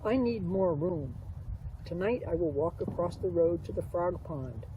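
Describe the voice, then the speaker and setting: A woman's voice: fairly low pitch, animated, measured pace, dull audio. A woman voicing a grumpy male snapping turtle with a blunt manner in a storybook reading. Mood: decided. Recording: distant mic, faint outdoor noise.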